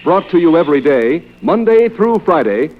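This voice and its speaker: A children's serial announcer of vintage radio, speaks high with sweeping intonation. The delivery is emphatic. The old recording sounds dull and lo-fi. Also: male voice, very animated, moderate pace, soft background music. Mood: rousing.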